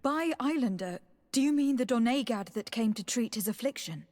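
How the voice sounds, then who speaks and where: A woman's voice: on the high side, expressive, moderate pace, a little mellow, natural voice. Composed, determined young noblewoman played by an actress. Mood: commanding, probing. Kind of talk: video game cutscene acting.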